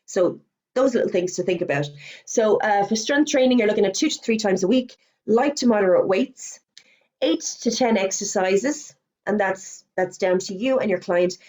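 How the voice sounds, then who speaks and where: A middle-aged woman with an Irish accent, a fitness instructor giving a webinar presentation, chatty, with quick asides and self-corrections, her voice going hoarse as she talks. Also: mid-range voice, expressive, darkish tone. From Ireland. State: losing her voice.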